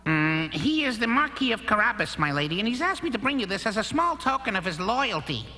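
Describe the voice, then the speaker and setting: A woman voicing a clever, confident talking male cat with a brisk, courtly, persuasive manner in a dubbed cartoon fairy tale. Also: mid-range voice, big pitch swings, sharp tone. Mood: courtly, polite.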